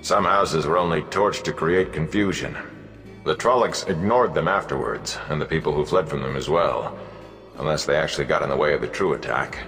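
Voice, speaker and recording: A man doing a stern, deep-voiced warrior guard. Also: a little fast, a bit bright, in a large hall, soft background music. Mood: matter-of-fact. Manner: curt, matter-of-fact. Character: stern, terse male warrior bodyguard.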